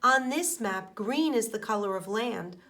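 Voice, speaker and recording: Slow-paced woman teacher reading to children. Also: a little high, animated, bright, forceful tone. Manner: simple, deliberate phrasing for young children, with questions to listeners. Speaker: elementary school teacher. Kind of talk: children's picture book read-aloud with explanations.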